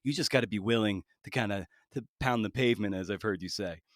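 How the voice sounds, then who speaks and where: Halting man hosting a podcast, dry sound. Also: fairly low pitch, a bit bright, no room sound. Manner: halting, rambling, thinking aloud with repeated words. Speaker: podcast host, interviewer. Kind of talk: casual conversational interviewing.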